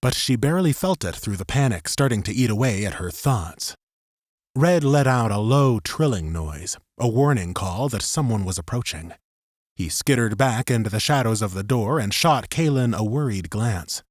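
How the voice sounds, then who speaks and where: A man's voice: fairly low pitch, animated, moderate pace, no echo, studio quality. A man narrating a scripted third-person story as an audiobook narrator.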